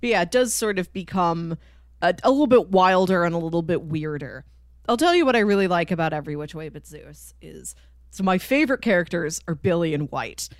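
A woman's voice: lowish voice, lively intonation, a little fast, dry recording. Woman podcast host, close dry sound. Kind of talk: casual chat about a cartoon series.

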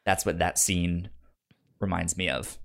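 A man co-hosting a podcast, discussing films casually in a halting, informal way. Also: sits low, fairly even intonation, creaky, fairly bright tone. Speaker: podcast co-host.

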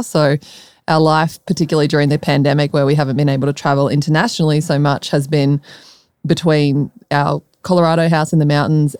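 A woman with an Australian accent, talking informally as a podcast guest and quick to laugh. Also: low voice, darkish tone, clean tone, dry recording, studio quality. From Melbourne, Australia. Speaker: podcast guest, startup founder. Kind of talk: conversational interview.

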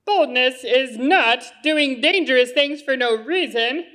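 Woman voicing an earnest, high-pitched puppet friend. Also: expressive, unhurried, a bit bright. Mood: earnest teaching. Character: sensible, excitable puppet friend who explains lessons. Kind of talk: children's church puppet show.

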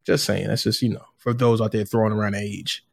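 A man co-hosting a casual sports podcast in a laid-back, halting way, peppering his talk with conversational tags. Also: fairly low pitch, steady tone, gravelly, husky. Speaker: sports and culture podcast co-host.

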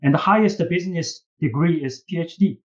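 Man, Chinese accent, slightly high pitch, earnest professor, halting phrasing, repeated words, somewhat muffled lo-fi recording. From China. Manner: earnest, halting with repeated words, often ends points with "right?". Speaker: business school professor and case writer, podcast guest. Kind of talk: interview answers.

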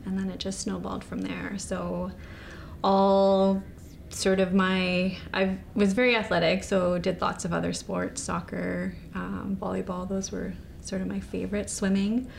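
A woman's voice: medium pitch, little pitch movement, unhurried, creaky, slight background noise. A woman in her forties, a former professional snowboarder from Canada, answering interview questions in an easygoing way with many fillers. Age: 40s.

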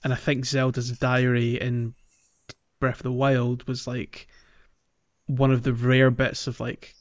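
A man's voice: mid-range voice, completely flat delivery, unhurried, dry recording, dull audio. A man hosting a casual gaming podcast, speaking conversationally and putting questions to his co-hosts. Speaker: podcast host.

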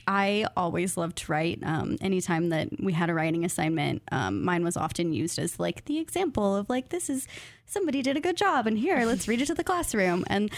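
A woman's voice: medium pitch, no room sound. Woman guest chatting thoughtfully, close dry sound. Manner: thoughtful, self-deprecating, laughs easily. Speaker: guest writer, graduate student of creative nonfiction. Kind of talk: casual interview chat.

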